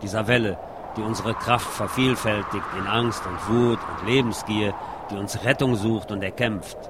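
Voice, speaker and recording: A man reads literary prose aloud in a low-pitched voice. Clear urban noise, like passing vehicles, runs behind his reading. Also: steady tone, normal speed. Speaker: reader. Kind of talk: literary reading of a war novel.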